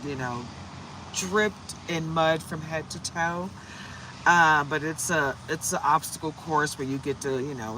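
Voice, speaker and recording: A hoarse woman from the United States doing a casual, upbeat tarot livestream and greeting viewers by name. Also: deep voice, bright, forceful tone, a little hiss or hum, low-quality recording. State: hoarse from shouting. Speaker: tarot reader.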